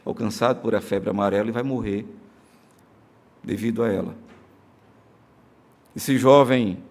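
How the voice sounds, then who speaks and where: A man's voice: fairly low pitch, darkish tone. Earnest Brazilian preacher man. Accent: Brazilian Portuguese. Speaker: pastor. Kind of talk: sermon. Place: church sanctuary during a live-streamed service.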